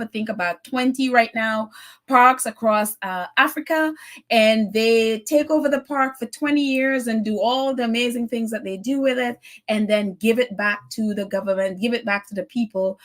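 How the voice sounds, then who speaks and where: A woman hosting a casual live stream, reading out viewer comments in a chatty, effusive way, laughing often and using plenty of fillers. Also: on the high side. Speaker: host of a royal news live stream.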